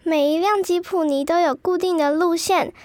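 A woman's voice: very high-pitched, steady tone, normal speed, darkish tone, no echo. A woman playing a chatty, cheerful schoolgirl in an educational radio drama for children. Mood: lively, sharing. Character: chatty cheerful Filipino-Taiwanese schoolgirl, proud of Philippine food.

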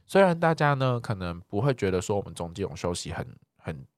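Low-voiced Taiwanese man with swooping intonation. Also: very animated, a little fast, no echo, clean studio sound. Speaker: podcast co-host. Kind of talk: casual chat.

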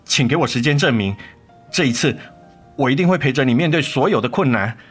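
Earnest, remorseful father, mid-pitched. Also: expressive, fairly quick, husky. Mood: remorseful, pleading. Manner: earnest, gentle, coaxing. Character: remorseful, pleading father and ex-husband, tender. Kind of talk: radio drama acting. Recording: music under the voice.

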